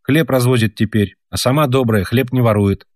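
A man's voice: mid-range voice, normal speed, a little mellow, no room sound, studio quality, voice pushed up, lightly acted. A man voicing an earnest young peasant boy who lectures his parents and tells a long story. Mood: storytelling.

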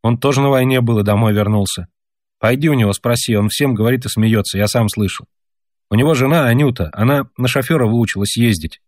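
A man's voice: medium pitch, a little fast, darkish tone, dry recording, clean studio sound, pitched above the performer's own voice, natural voice. A man voicing an earnest young peasant boy who lectures his parents and tells a long story. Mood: storytelling.

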